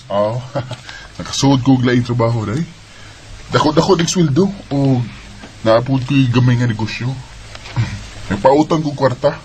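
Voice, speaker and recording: A man's voice: mid-range voice, measured pace, long pauses, darkish tone, slight background noise, natural voice. A man playing a protective, hardworking older brother in a Filipino Bisaya radio drama. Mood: easygoing. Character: protective, hardworking older brother raising his younger sister.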